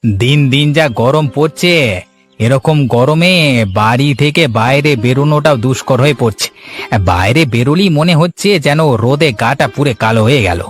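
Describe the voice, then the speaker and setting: A village schemer's sidekick, voiced by a man in a cartoon. His medium-pitched voice is casual, agreeable and deferential, over music. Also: expressive, normal speed, natural voice. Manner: casual, compliant. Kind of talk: Bengali cartoon story acting.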